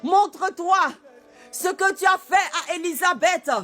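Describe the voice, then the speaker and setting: A man leading a fervent spoken prayer, repeating phrases and often calling out loudly, with soft music behind him. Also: very high-pitched, fairly even intonation, unhurried. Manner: fervent, repetitive, often shouted. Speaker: pastor. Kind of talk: prayer and worship exhortation.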